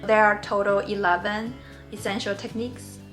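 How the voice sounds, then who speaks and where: A woman in her forties, born in China and a native Mandarin speaker, hosting a knitting tutorial in a gentle, earnest and encouraging way. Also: mid-range voice, little pitch movement, moderate pace, loud music behind the voice. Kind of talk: friendly instructional talk.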